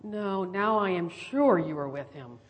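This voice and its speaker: A woman's voice: lowish voice, lively intonation, unhurried. A woman voicing an indignant bystander who insistently accuses someone. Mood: accusing. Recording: long reverb.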